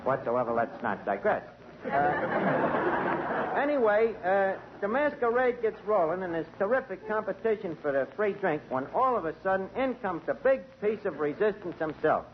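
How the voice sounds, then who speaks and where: A man's voice: high-pitched, normal speed. A man playing a wisecracking tavern manager in a 1940s radio comedy, full of malapropisms. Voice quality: lively, wisecracking, malaprop-prone. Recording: muffled, hissy 1940 radio recording.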